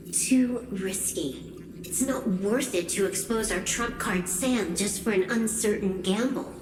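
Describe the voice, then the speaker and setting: A cool, cautious woman strategist from an anime game. She talks slowly in a dry, matter-of-fact way at a medium pitch. The voice echoes, with music playing behind it. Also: lively intonation. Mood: firm, cautioning.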